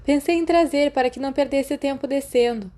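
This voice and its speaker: A woman's voice: high voice, fairly quick, warm tone, voice pushed up, lightly acted. A woman with a Brazilian accent voicing a friendly, considerate teenage schoolboy in an audiobook. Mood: friendly, raised. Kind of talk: audiobook character dialogue.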